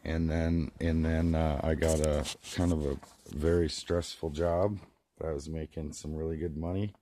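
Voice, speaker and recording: A hoarse man, about forty, rambling in a casual vlog, filmed on a handheld phone inside a small greenhouse. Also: extremely low pitch, little pitch movement, moderate pace, warm tone, a little hiss or hum. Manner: rambling, many restarts and fillers. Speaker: vlogger. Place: small plastic greenhouse, indoors. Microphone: phone camera held close.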